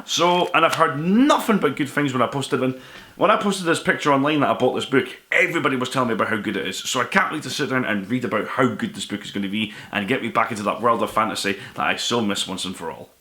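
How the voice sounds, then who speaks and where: Quick, bright-voiced man chatting enthusiastically. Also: medium pitch. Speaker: host of a book haul vlog. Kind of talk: casual chat to viewers.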